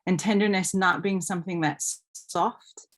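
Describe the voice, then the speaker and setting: Lower-voiced woman, earnest panel speaker. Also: steady tone, measured pace. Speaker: panelist, scholar. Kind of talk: panel talk, explanatory.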